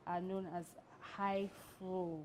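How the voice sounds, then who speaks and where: A woman's voice: on the low side, flat delivery, slow, a little mellow, slight background noise. Bubbly woman TV host. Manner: bubbly, enthusiastic interjections. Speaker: TV show host. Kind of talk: studio interview. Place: TV studio.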